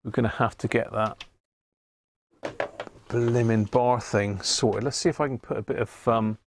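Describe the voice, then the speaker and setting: A British man giving casual, unhurried live commentary as he works at a repair bench, half muttering to himself. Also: medium pitch, fairly even intonation, background noise. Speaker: host of a live radio repair stream. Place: indoor repair workbench.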